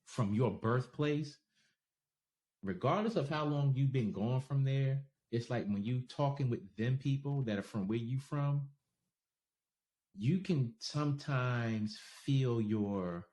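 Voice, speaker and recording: A man from New York co-hosting a podcast in casual chat, with a faint New York accent worn down by travel, laid-back and quick to laugh. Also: mid-range voice, a little mellow. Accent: faint New York, softened by travel. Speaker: podcast co-host.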